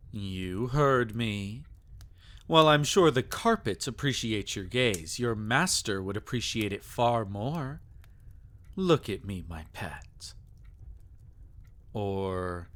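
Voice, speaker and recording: A man's voice: lowish voice, a bit bright. A man acting as a sadistic, possessive vampire lord in an intimate ASMR roleplay, teasing and taunting with theatrical, menacing endearments. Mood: coaxing, commanding.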